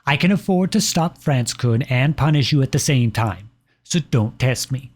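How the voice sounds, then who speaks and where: A man's voice: mid-range voice, animated, normal speed, a little mellow, lightly acted. A man playing a pompous, jilted personification of Britain in a comedic audio roleplay, reading formal letters with petulant indignation. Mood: stern, indignant.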